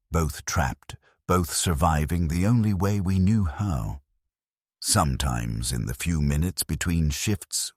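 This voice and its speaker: An extremely low-voiced man delivers a scripted, somber first-person story. His tone is resigned and rough-edged, moving widely in pitch. The sound is dry and close. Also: no echo. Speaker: narrator of a first-person science fiction story. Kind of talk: scripted dramatic narration.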